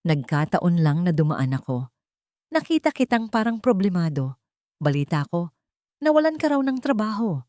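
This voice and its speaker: A woman's voice: lowish voice, very animated, moderate pace, darkish tone, dry recording, studio quality. A woman reading as a smug rich man. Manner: smug, condescending. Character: cold, mocking rich young man.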